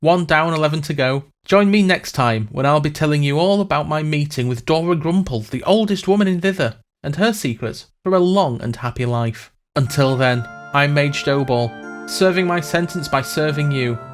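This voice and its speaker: Male, slightly high voice, acting as a pompous wizard podcast host; wry, reluctant, self-satisfied, scripted fantasy comedy narration. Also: normal speed, soft background music, natural voice. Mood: breezy sign-off.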